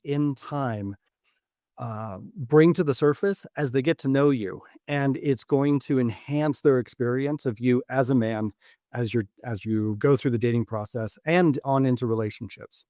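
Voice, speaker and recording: A man speaking as a dating coach and podcast host, giving instructional advice in deliberate, measured phrasing with repeated words. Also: medium pitch, warm tone, clean tone, no echo, clean studio sound. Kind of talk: instructional podcast monologue to camera.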